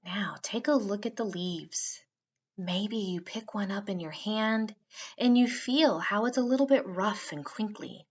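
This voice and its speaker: A woman narrating a guided meditation for children in a soothing, unhurried way with drawn-out phrasing. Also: medium pitch, breathy edge, lo-fi sound.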